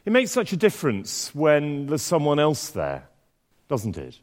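A man preaching a sermon and reading scripture aloud, with a slightly croaky voice and a conversational, self-deprecating manner full of fillers and restarts. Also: fairly high pitch, wildly swooping pitch, a little slow. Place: church. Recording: long church reverb.

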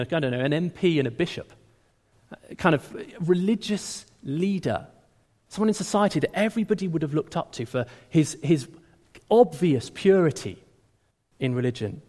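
A man preaching a sermon in a conversational, animated way, often restarting his words. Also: a little high, normal speed. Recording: long hall reverb.

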